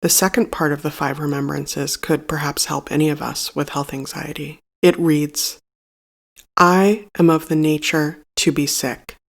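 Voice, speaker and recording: A meditation guide reading a scripted narration in a measured, solemn and instructive manner. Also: mid-range voice, fairly even intonation, vocal fry.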